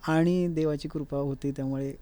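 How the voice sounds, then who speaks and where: Higher-voiced, mellow young man. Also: steady tone, normal speed, smooth, dry recording. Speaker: guest vocalist being interviewed. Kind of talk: conversational interview answers, mixing in English. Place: recording studio.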